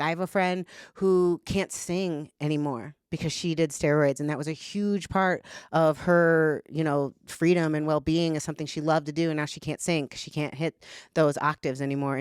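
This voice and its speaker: A woman's voice: deep voice, clear, resonant tone, dry recording, clean studio sound. A woman guest on a podcast, speaking frankly and casually, swearing freely and filling her talk with "like". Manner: blunt, profane, talks with lots of "like". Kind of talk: candid casual conversation.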